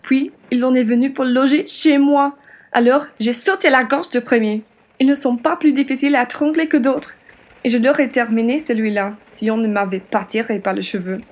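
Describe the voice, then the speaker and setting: High-voiced, spirited Frenchwoman acted by a woman. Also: normal speed, close to an everyday voice. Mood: indignant, proud. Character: spirited, generous French patriot woman, fiery and plain-spoken. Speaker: student actor. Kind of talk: radio play acting in French.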